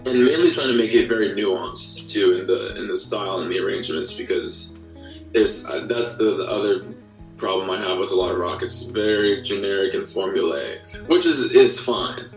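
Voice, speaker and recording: A man with a fairly low voice talks casually as an interview guest, explaining things reflectively. The recording is roomy and a bit off the mic, with music behind him. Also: expressive, normal speed. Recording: roomy, slightly distant-sounding, music underneath.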